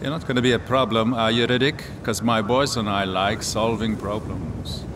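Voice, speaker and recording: An actor playing a pompous, threatening prison warden in a video game, domineering in manner. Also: male voice, fairly low pitch, fairly even intonation, moderate pace, background noise, close to an everyday voice. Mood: smug threat. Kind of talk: cinematic video game acting.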